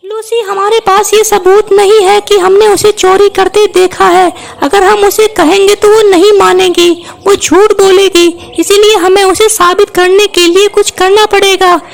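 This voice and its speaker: A woman's voice: very high-pitched, little pitch movement, measured pace, vocal fry, few pauses, heavily acted. A woman voicing a brave, clever, kind young female bird in a children's cartoon, speaking in a reassuring, decisive way. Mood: scheming, composed. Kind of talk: Hindi children's cartoon voice acting.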